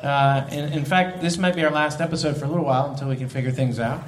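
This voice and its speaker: A man's voice: fairly high pitch, fairly even intonation, quick pace. A man hosting a comedy podcast in casual banter, often chuckling and amused. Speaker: podcast host. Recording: echoey room, loud noise and clicks.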